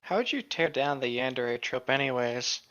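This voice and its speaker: A man's voice: mid-range voice, lively intonation, moderate pace, crowd noise. A man with a mid-range voice banters casually with co-hosts over a dull, lo-fi mic. He is jokey and swears freely. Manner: joking, profane. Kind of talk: casual group chat.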